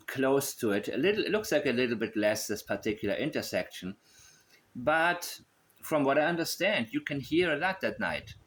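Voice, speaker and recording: Male online host, mid-range voice, unscripted and musing, reasons aloud step by step and often repeats points. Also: medium pitch. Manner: musing, thinking aloud, repetitive. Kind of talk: unscripted commentary while examining a map.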